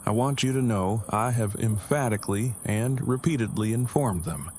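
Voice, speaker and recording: Guy playing an awkward earnest survivor dude, lowish voice, super wordy and formal, audio drama, some night noise behind. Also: a little mellow, close to an everyday voice. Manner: stiffly formal, long-winded, precise wording. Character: awkward, verbose, earnest male survivor and loyal friend. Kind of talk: fan audio drama acting.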